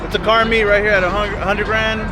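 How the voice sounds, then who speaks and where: A man's voice: sits high, fairly even intonation, brisk. A man, a guest at an outdoor car meet, chatting in an easygoing way and listing things off. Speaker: guest, car club member. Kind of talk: casual chat. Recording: loud outdoor event noise. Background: loud music and crowd.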